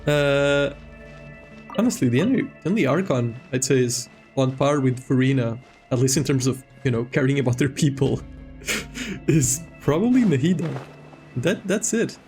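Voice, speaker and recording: A man's voice: mid-range voice, lively intonation, moderate pace, darkish tone, soft background music. A man giving casual live game commentary as a streamer, in a rambling, joking, informal way.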